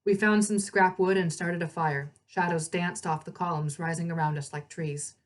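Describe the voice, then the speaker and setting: A woman reading a story aloud as narrator, in an expressive storybook style. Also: fairly low pitch, moderate pace.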